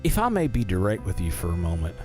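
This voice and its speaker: A man's voice: low-pitched, wildly swooping pitch, quick pace, mellow. An actor playing a folksy, genial carnival owner in an audio drama, talking in a salesman's patter. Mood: genial, persuasive. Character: folksy, smooth-talking carnival owner, a much-married man. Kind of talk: scripted audio drama acting. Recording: music behind the voice.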